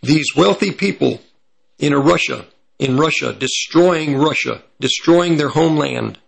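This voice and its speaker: Man, hoarse and heavily gravelly, fairly high voice, prophecy commentary, reads and corrects himself. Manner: pauses to correct himself mid-sentence. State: slightly raspy voice from hay fever. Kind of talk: commentary reading from an article.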